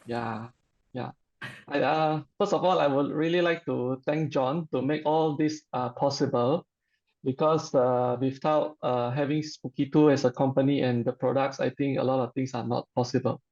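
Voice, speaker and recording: A middle-aged man with a Malaysian accent, giving an informal personal testimonial in a halting, hesitant way. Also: mid-range voice, steady tone, darkish tone, lo-fi sound.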